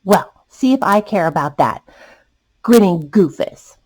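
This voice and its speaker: A woman's voice: on the low side, expressive, unhurried, a little mellow. A woman voicing a vain, jealous male giraffe in a storybook, huffy, dramatic and self-important. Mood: huffy, grumbling.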